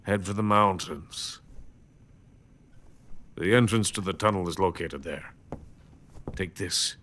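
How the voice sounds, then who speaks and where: An actor playing a weary, proud older Soviet scientist, speaking in a deliberate, grandiose way in a dramatic video game. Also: male voice, low-pitched, a bit bright. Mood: urgent, instructive.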